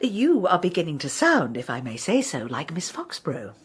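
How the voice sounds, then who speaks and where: An actress playing a prim, snobbish, disapproving veteran schoolteacher in a radio comedy, speaking in a clipped, deliberate, haughty way. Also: female voice, sits low, huge pitch swings, slightly rough, exaggerated voice. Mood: scandalised disdain. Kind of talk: radio comedy drama acting.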